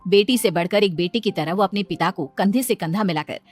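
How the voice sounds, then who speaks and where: A woman's voice: medium pitch, fairly quick, faint music behind. A woman narrator reading a scripted story in a storytelling style.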